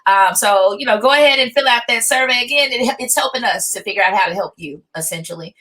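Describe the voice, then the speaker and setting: A woman's voice: a little high, fast talker, bright, forceful tone. A woman hosting a seminar, making informal announcements in a polite, improvised way. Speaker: host, moderator.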